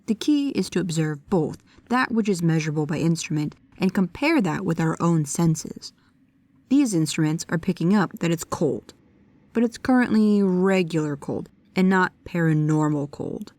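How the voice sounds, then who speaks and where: A woman's voice: on the low side, expressive, normal speed, a little mellow, no room sound. A woman playing a bookish, precise and nervous young paranormal investigator, speaking to the listener in an audio roleplay. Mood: pedantic, curious. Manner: pedantic, hesitant, earnest.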